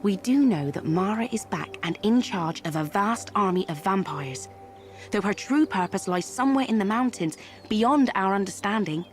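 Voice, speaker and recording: An actress playing a wry, worldly herbalist and fortune-teller in a game cutscene, musing with a theatrical touch. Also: female voice, mid-range voice, lively intonation, normal speed, close to an everyday voice. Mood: musing, sardonic. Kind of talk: video game cutscene acting. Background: music.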